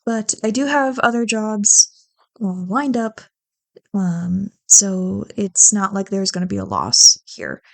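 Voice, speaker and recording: A woman's voice: mid-range voice, expressive, slow, vocal fry, no echo. A woman chatting casually to her listeners in a rambling, self-deprecating way, swearing freely, close to the microphone. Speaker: ASMR roleplay audio creator.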